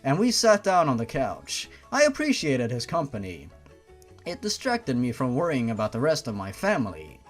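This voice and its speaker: A man's voice: mid-range voice, huge pitch swings, normal speed, soft background music. A man reading a story aloud in a mocking, theatrical way, breaking off into sarcastic, foul-mouthed asides. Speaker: host reading and mocking fan fiction. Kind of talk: mocking dramatic read-aloud with ranting asides.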